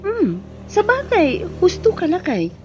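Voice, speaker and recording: A woman's voice: very high voice, wildly swooping pitch, measured pace, a little hiss or hum, full character voice. A woman acting a helpful, reassuring married elder sister in an audio drama, with an Ilocano Filipino accent. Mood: accepting, caring.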